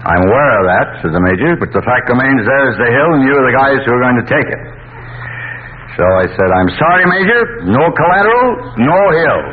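A man's voice: mid-range voice, big pitch swings, fairly quick, lightly acted. An actor playing a wry middle-aged father and former sergeant who jokes drily and rambles, in a 1940s radio play. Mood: tipsy rambling. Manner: dry, joking, rambling delivery. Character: wry middle-aged bank man, tipsy returning sergeant. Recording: scratchy old radio broadcast, tinny with hiss.

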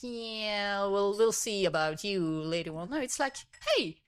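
A woman in her thirties from Belgium, a native Dutch speaker with a Belgian Dutch accent, chatting as a guest, friendly and laughing often, with halting restarts. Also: mid-range voice, lively intonation, clean tone. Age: 30s. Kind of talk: casual interview chat.

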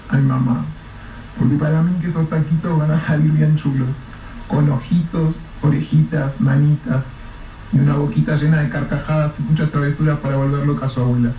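Actress as a young guy joking with his mom, slangy and warm, dull old radio play sound. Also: sits low, fairly even intonation, normal speed, slight background noise, close to an everyday voice. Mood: teasing. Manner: teasing, reassuring, colloquial Mexican speech. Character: young working man, playful devoted son. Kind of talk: radio drama acting. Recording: roomy, dull, distant mic.

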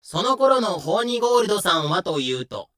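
A man's voice: high voice, big pitch swings, a little fast, bright, forceful tone, exaggerated voice. A man playing the narrator of a pirate tale, announcing scenes in scripted narration. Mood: storytelling.

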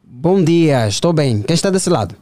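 A man's voice: on the high side, animated, normal speed, warm tone, no room sound. A man with an Angolan accent hosting a radio phone-in from a studio in a conversational style. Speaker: radio host.

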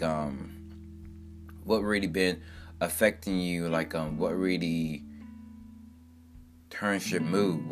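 A young man with a very low, deep voice rambles through an upbeat, earnest self-help monologue, full of "like" and "um". Plucked guitar music plays clearly underneath him the whole time.

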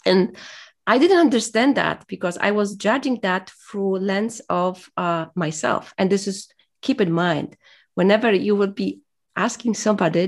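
A woman's voice: mid-range voice, vocal fry. A woman whose first language is Polish, speaking as an interview guest in a reflective, motivational way, hesitant and full of fillers as she searches for words.

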